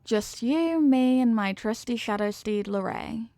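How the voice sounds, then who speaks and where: A woman's voice: on the high side, expressive, measured pace, dark tone, no echo. A woman playing a sweet, devoted headless horsewoman girlfriend in an intimate ASMR roleplay, affectionate, playful and gushing. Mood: dreamy, loving.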